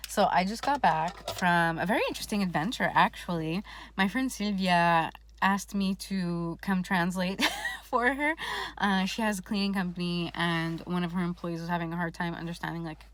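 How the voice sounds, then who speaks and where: Chatty lower-pitched woman vlogger. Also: normal speed, dry recording. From Montreal, Canada. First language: French. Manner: chatty and upbeat, laughs often, pep-talk asides. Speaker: vlogger and online coach. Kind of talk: casual vlog talk to camera.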